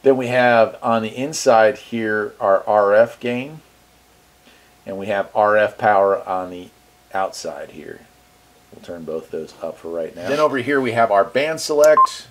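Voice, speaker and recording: A man's voice: lowish voice, measured pace, background noise. A man hosting a casual product walkthrough, easygoing and thinking aloud as he goes. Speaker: radio reviewer, channel host.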